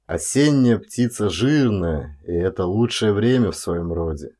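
A male actor narrates a literary audiobook in a low voice. His phrasing is measured, and he stretches chosen words for emphasis. The delivery is that of an expressive storyteller reading prose.

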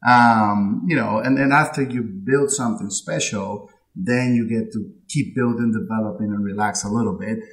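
A man in his late thirties from Puerto Rico, a guest on an insurance-industry interview podcast, telling his story in a chatty, animated way with frequent laughs, recorded on a headset mic over a video call from a home office. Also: mid-range voice, low-quality recording. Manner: chatty, animated with hand gestures, laughs often. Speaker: insurance agency owner, guest. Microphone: headset with boom mic on video call.

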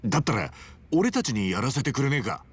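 A man playing an earnest, rough-spoken, big-hearted hero in a video game, husky and blunt. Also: medium pitch, sing-song, fast talker. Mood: eager, earnest. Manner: blunt, informal. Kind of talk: scripted Japanese video game dub.

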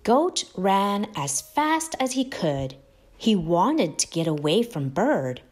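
Slow, animated woman reading a storybook. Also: mid-range voice, soft background music. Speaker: storybook narrator, host of read-aloud channel. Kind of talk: expressive children's read-aloud.

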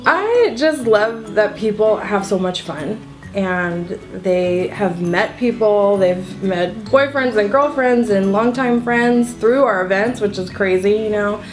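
A woman's voice: mid-range voice, takes it slowly, rough recording. Chatty woman in a sit-down interview. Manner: chatty, upbeat, with frequent ums. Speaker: event co-founder. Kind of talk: casual interview answers. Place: indoor room at a table. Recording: soft music bed underneath.

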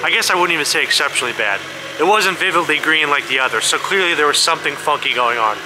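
A man's voice: a little high, animated, quick pace. Chatty young man vlogging in a hay barn. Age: young adult. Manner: candid, chatty, talking to camera. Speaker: farm vlogger. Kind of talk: casual vlog narration. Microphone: handheld phone camera at arm's length. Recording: noisy barn with engine hum.

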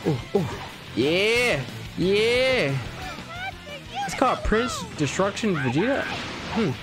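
A young man streaming a video game through a headset at home, reacting with loose, slangy excitement. He says himself that his voice is fairly high. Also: slow. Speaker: gaming streamer. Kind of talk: casual gameplay commentary. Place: home gaming setup, indoors. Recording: game music playing behind. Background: game music and sound effects.

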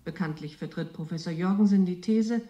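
An actress playing a formal, composed news anchor reading a bulletin in a radio drama, with echo. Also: female voice, lowish voice, brisk, mellow, close to an everyday voice. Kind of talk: newsreading within a radio drama.

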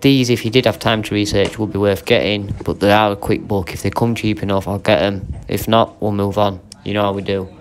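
A man's voice: on the low side, steady tone, fairly quick, few pauses. A man with an English accent giving casual, informal vlog commentary to viewers, chatting to them like mates. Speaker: video host and reseller. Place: indoor auction warehouse.

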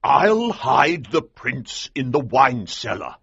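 A man voicing a bold, commanding army colonel in an audiobook, with a husky voice and an urgent, emphatic delivery. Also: a little high, big pitch swings, takes it slowly, fairly bright tone, no room sound, highs cut off, pitched above the performer's own voice, exaggerated voice. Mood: excited. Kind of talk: audiobook character dialogue.

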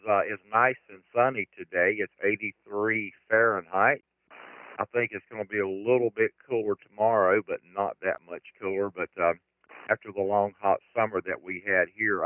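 A man's voice: on the low side, normal speed, dry recording. A man with an American accent, a ham radio operator giving matter-of-fact, orderly reports over shortwave radio. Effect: heard over shortwave radio. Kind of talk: ham radio contact exchange. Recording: dry, tinny band-limited radio signal.

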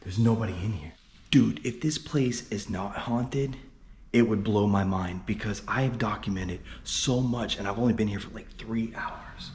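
A man's voice: fairly low pitch, normal speed, a little mellow. A man in his thirties exploring an abandoned mansion on a handheld selfie camera, jumpy and swearing often. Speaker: paranormal vlogger. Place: abandoned mansion and overgrown grounds, in and outdoors. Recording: noisy outdoor ambience.

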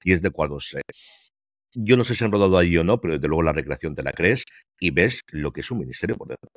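Deep-voiced opinionated Spanish man. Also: lively intonation, quick pace, dry recording, studio quality. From Spain. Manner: opinionated, emphatic, fires off rhetorical questions. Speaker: TV series critic co-host. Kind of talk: casual review chat. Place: home room, remote call. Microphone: headset and desk mic over video call.

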